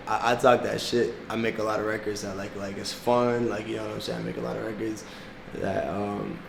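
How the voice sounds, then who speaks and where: Laid-back young man, gravelly low voice. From South Florida, United States. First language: Portuguese. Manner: laid-back, slangy, laughs often. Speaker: music artist guest. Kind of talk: casual interview chat. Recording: echoey room with background noise.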